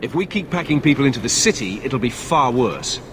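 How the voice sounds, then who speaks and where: An actor playing a weary, unyielding guard captain in a video game, with noise behind the voice. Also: male voice, medium pitch, very animated, fairly quick. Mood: firm, exasperated. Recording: clear background noise, ambience.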